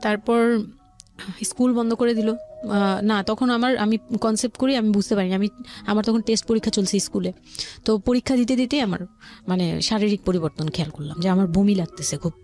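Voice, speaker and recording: A woman with a Bangladeshi accent telling a personal story as an interview guest, explaining in a halting way and often repeating herself. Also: mid-range voice, steady tone, darkish tone.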